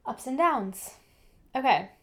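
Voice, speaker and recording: A young woman co-hosts a relaxed podcast chat. She rambles along in a friendly way, padding her sentences with "like" and "um.". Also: high voice, slow pace. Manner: chatty, rambling, full of "like" and "um". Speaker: podcast co-host. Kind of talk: casual chat.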